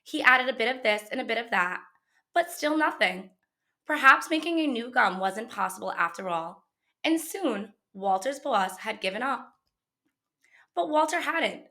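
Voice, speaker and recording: A woman with a fairly high, very bright voice reads a picture book aloud for children. She tells the story expressively, in the manner of a schoolteacher, shaping the lines for young listeners. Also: expressive, measured pace. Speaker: teacher reading a book aloud.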